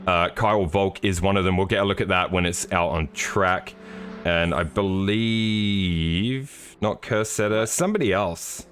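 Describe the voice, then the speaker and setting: A middle-aged man commentating a sim race on a live stream, slightly hoarse, relaxed and joking with many fillers. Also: deep voice, measured pace, soft background music.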